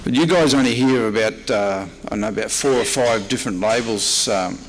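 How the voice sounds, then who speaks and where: A man's voice: on the low side, moderate pace, a little hiss or hum. A man with an Australian accent giving a prepared history lecture, largely read aloud, in a reverberant convention room. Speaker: historian and archivist giving a talk.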